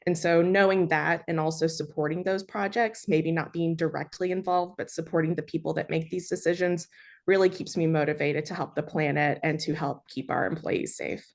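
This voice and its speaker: Low, flat-voiced woman panelist. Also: moderate pace, gravelly. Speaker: panelist, sustainability project manager. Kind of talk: panel discussion.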